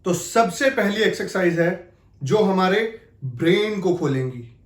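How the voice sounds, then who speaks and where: A man with a notably high-pitched voice presents an acting lesson. He explains things directly to the viewer in an instructional way. The room adds a clear echo to his voice. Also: lively intonation, a little slow. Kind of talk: instructional video talk with spoken demonstrations. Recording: echoey, reverberant room.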